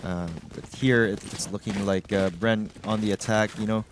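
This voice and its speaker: A man's voice: on the low side, steady tone, moderate pace, noisy background. A man giving conversational analysis as an esports co-caster, casually thinking aloud. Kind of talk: live analytical commentary, conversational.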